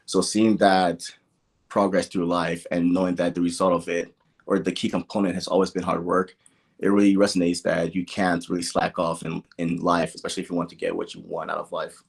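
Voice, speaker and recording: Very deep-voiced young man in conversation. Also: flat delivery, fairly quick, vocal fry, breathy edge. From born in Nigeria, grew up in the American Midwest. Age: young adult. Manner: conversational, peppered with "like" and "you know". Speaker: podcast guest. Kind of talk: casual interview, personal storytelling.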